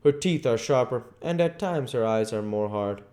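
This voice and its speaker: Man putting on an earnest old professor, pitched up. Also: lively intonation, a little mellow, close to an everyday voice. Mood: grave, urgent. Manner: halting, formal, broken-English phrasing. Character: earnest old foreign professor, grave and sorrowful.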